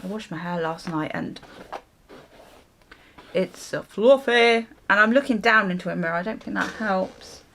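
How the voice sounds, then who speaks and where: A woman's voice: mid-range voice, measured pace, a bit bright, a little hiss or hum. A British woman vlogger chatting casually through a story, exasperated and sweary, acting out both sides of conversations. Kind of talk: casual chatty storytime while getting ready.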